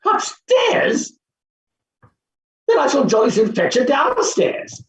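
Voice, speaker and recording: An actor playing a petulant, overbearing gentleman professor, blustering and spluttering in a staged play reading, in dull echoey sound. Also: extremely high pitch, wildly swooping pitch, slow pace, full character voice. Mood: spluttering outrage.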